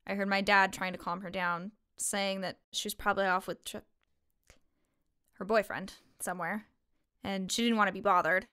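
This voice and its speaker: A woman playing a guarded, grieving young waitress in a fiction podcast, speaking in a halting, matter-of-fact way. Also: medium pitch, fairly even intonation, bright, forceful tone, smooth, no room sound, clean studio sound, lightly acted. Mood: subdued, explaining. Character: guarded young waitress grieving her missing sister, weary. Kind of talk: fiction podcast drama acting.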